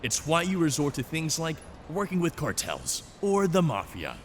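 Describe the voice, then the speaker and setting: A man's voice: on the high side, huge pitch swings, moderate pace, slight background noise. A man playing an indignant, sarcastic government agency official in a drama, confrontational in manner. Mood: scornful. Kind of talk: dramatic dialogue.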